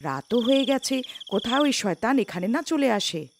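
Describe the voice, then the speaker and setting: Woman playing an animated, anxious village wife. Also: fairly high pitch, moderate pace, noisy background. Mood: worried. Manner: nagging, pleading. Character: anxious, feisty village wife, devoted to dog. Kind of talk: children's moral-story cartoon acting.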